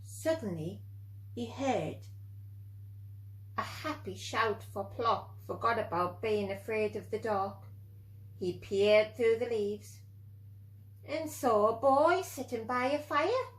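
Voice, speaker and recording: A woman reading a storybook expressively to young children, pausing to explain things simply. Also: a little high, low-quality recording.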